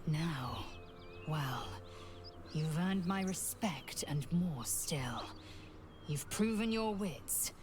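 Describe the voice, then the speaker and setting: An actress voicing a fierce, proud alien warrior woman in a video game, blunt and imperious, with a breathy, half-whispered delivery. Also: sits low, lively intonation, very slow, over music, exaggerated voice. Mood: admiring, intense. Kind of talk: video game character dialogue.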